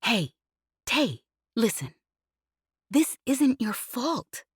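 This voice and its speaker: A woman's voice: fairly high pitch, big pitch swings, very slow, breathy edge, no echo, clean studio sound, pitched above the performer's own voice, full character voice. A woman narrator voicing a brash young woman pilot who chatters cheekily and won't stop talking, in an audiobook reading. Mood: gentle.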